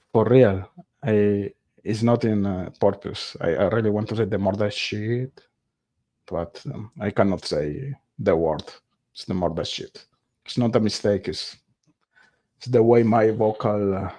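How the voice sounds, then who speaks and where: Slow, halting non-native man streaming. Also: on the low side, a little mellow. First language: not English. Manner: halting, searches for words, many fillers. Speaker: livestream host reading viewer chat. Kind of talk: casual live-stream chat.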